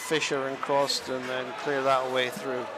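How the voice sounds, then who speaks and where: A man giving live play-by-play commentary on an ice hockey game, chatty and self-deprecating, sometimes pausing to find his words. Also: medium pitch, little pitch movement, heavy background noise. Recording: music clearly audible behind the voice. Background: music.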